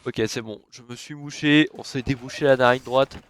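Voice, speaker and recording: A young man with a cold and a stuffed-up nose, casually commentating his game, chatty and cursing, with sudden excited yelps. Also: medium pitch, normal speed. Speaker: gaming YouTuber.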